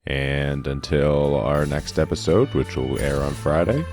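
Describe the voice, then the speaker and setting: A man's voice: a bass voice, measured pace, a little mellow, soft background music. A man co-hosting a podcast in casual chat, teasing his partner and laughing often. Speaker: podcast co-host.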